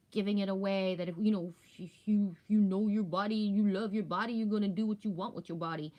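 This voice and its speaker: A woman of about fifty chatting casually as a livestream host, rambling and emphatic, candid, often tagging her points with a questioning check. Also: mid-range voice. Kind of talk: casual spiritual livestream chat.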